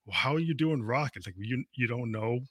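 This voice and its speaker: A man's voice: mid-range voice, animated, brisk, echoey room, heavy background noise. A man in his fifties hosting an interview, rambling casually with many restarts. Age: 50s. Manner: rambling, restarts often, laughs easily. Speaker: radio show host.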